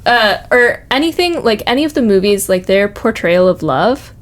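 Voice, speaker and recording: A woman's voice: medium pitch, expressive, moderate pace. A young woman chatting casually and enthusiastically, often peppering her talk with "like". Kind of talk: casual chat. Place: office.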